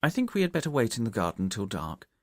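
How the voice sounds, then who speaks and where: Male detective, acted by an audiobook narrator: lowish, animated, confident, methodical, used to command. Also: no room sound, studio quality. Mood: methodical, instructing. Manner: reasoning aloud, deliberate, commanding. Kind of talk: audiobook character dialogue from a Victorian detective story.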